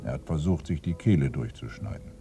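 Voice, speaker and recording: An actor playing a brooding, irritable senior police inspector in a radio crime play, ponderous and commanding with sudden emphasis. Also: male voice, a bass voice, lively intonation, brisk, creaky, heavily acted. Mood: sombre, reporting. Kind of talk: German radio play acting.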